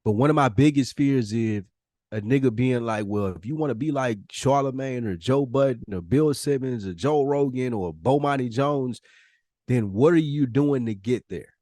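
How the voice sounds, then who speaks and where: A man talks on a podcast in a medium-pitched voice. He is candid and self-reflective, using loose slang and swearing freely. The sound is dry, with very little room in it. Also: normal speed, dark tone, no room sound. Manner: informal slangy talk with profanity. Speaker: podcast host. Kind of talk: casual, candid podcast conversation.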